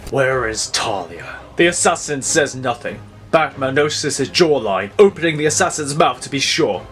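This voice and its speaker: Higher-pitched male story narrator over music. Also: lively intonation, normal speed, a bit bright. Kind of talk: scripted dramatic narration. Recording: music bed under the voice.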